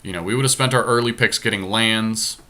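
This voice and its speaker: A man's voice: fairly low pitch, a little fast, sharp tone. A man doing casual think-aloud commentary on a card game draft, decisively explaining his reasoning as he goes.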